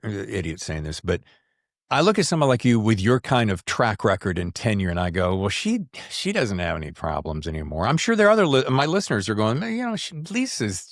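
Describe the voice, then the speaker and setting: A man's voice: medium pitch, dry recording, studio quality. A man hosting a podcast in a casual, conversational style, rambling and interrupting himself with folksy asides to listeners. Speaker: podcast host.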